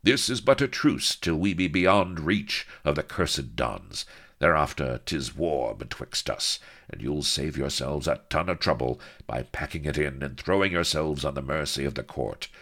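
A man's voice: extremely low pitch, animated, normal speed, fairly bright tone, heavily acted. A man voicing a stern, dashing pirate hero speaking in old-fashioned commanding terms. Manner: commanding, archaic.

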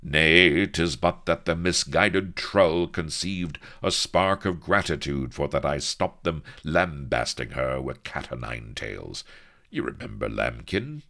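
A man's voice: rumbling low, expressive, slow pace, creaky, pushed and bright, heavily acted. A man voicing a pompous, naive young sea captain who speaks in mock-archaic phrasing.

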